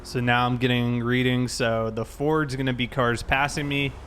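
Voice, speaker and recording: A man with a mid-pitched voice talks casually, like a streamer hosting a game session. He explains things in a conversational way. Faint vehicle noise and ambience sit behind him. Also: level tone, normal speed, a bit bright. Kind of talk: casual chat while gaming.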